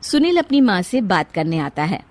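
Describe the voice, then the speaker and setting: A woman's voice: lowish voice, sing-song, moderate pace, a little hiss or hum. A woman giving scripted narration of a family moral story as storyteller.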